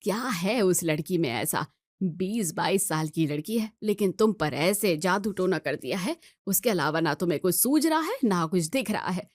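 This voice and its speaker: A woman's voice: fairly low pitch, animated, moderate pace, no echo, clean studio sound. A woman voicing a strict but caring middle-aged mother in an audio story, scolding and questioning. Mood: exasperated.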